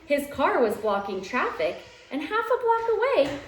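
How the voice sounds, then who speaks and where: A woman reading a children's picture book aloud at home in an expressive, dramatic way, drawing out key phrases. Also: high voice, unhurried, a little hiss or hum. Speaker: teacher reading aloud to young pupils. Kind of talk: animated children's storybook read-aloud. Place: living room at home. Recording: roomy, echoey home recording.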